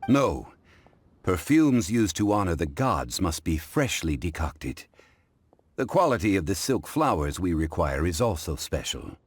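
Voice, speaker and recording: An actor playing a composed, erudite gentleman in an anime game dub, speaking formally and courteously, as if giving a measured lecture. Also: deep voice, exaggerated voice. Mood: calm, instructive.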